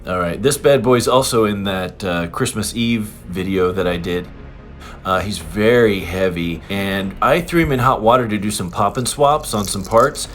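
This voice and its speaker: A man chatting casually through a toy unboxing and review, with an excitable, giggly fan's enthusiasm. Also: fairly low pitch, moderate pace, soft background music. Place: indoors in an apartment.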